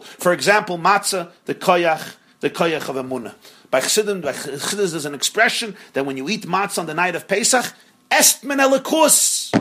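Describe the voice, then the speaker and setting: Husky-voiced man teaching scripture. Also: high voice, fairly bright tone. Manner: earnest, emphatic, repeats phrases for stress; sprinkles in Hebrew and Yiddish terms. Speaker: rabbi teaching a class. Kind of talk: explaining a religious text to students.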